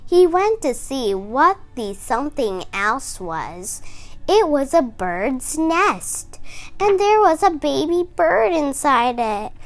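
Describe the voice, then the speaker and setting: Brief: woman storyteller for young readers, high voice, lively pitch, very deliberate. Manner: slow, expressive, emphatic. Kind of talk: children's book read-aloud.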